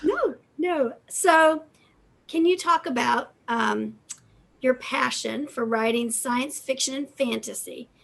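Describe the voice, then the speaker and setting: A middle-aged woman hosts a casual interview over a video call from a room at home. Her voice sits fairly high with a noticeable fry. She speaks slowly and pauses hesitantly as she puts each question together. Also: fairly bright tone. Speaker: interviewer, library host.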